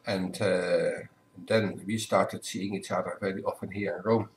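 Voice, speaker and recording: Low-voiced older man, measured. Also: steady tone, a little hiss or hum, low-quality recording. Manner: careful, measured, with self-corrections. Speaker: priest, guest. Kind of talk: relaxed interview answers. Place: home living room. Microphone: webcam at desk.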